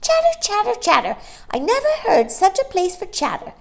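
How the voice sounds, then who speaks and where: A woman voicing a shrill, pompous, chattering male starling in a storybook reading. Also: huge pitch swings, moderate pace, gravelly, full character voice. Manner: pompous and wheedling.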